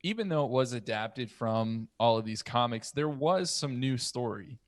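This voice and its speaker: A man's voice: medium pitch, animated, normal speed, no echo, clean studio sound. An American man in his thirties hosting a casual podcast discussion. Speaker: podcast host. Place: home room. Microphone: close boom-arm podcast mic.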